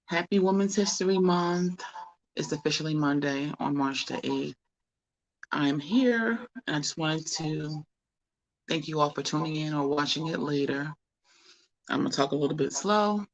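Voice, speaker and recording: An American host with a slightly raspy voice, a bit under the weather, speaking informally and deliberately in a solo livestream commentary. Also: medium pitch, poor audio. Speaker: host of a community talk show.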